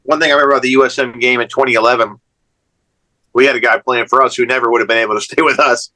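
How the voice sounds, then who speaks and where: A man's voice: mid-range voice, fairly even intonation, racing, pushed and bright, low-quality recording. A man chatting casually and conversationally as a panelist on a sports radio show. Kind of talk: casual sports reminiscing and chat.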